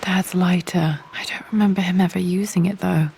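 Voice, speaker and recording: An actress playing a thoughtful young British woman explorer in a video game, musing softly to herself. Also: on the low side, steady tone, moderate pace, creaky, background noise. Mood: quiet, curious. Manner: soft, hushed, reflective.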